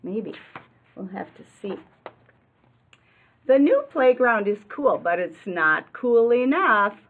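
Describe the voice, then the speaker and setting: An American woman in her sixties reads a picture book aloud like a teacher, expressively and deliberately, adding explanations and questions for young listeners. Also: mid-range voice, lively intonation. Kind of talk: children's read-aloud with explanatory asides. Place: classroom, indoors. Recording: muffled, lo-fi webcam-like sound.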